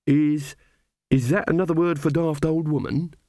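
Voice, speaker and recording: A man's voice: a little high, fairly even intonation, normal speed, darkish tone, no room sound, studio quality, pitched above the performer's own voice, natural voice. A man voicing a nervous but cheeky male innkeeper in a storybook, hesitant and sceptical.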